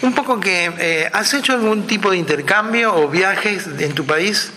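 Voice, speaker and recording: A man's voice: high-pitched, moderate pace, pushed and bright, a little hiss or hum, rough recording. A man with an Argentine accent interviewing guests in a chatty, joking way. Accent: Argentine Spanish. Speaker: interviewer host. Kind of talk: radio-style interview.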